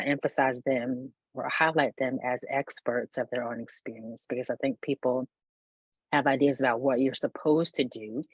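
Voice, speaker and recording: A woman's voice: a bass voice, no room sound, poor audio. A woman on a discussion panel upbeatly describing her program. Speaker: program leader.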